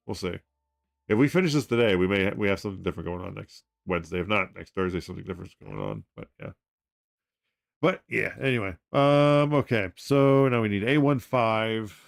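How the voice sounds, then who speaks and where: Loud, rambling man chatting while building. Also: on the low side, fast talker, vocal fry. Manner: rambling, excitable, loud when excited; mutters part numbers while building. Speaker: livestream host building model kits. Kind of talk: casual livestream chat.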